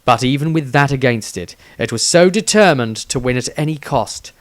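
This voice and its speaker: A man's voice: medium pitch, lively intonation, moderate pace, fairly bright tone. A man reading his own fantasy story aloud as a scripted, dramatic narration with a theatrical delivery.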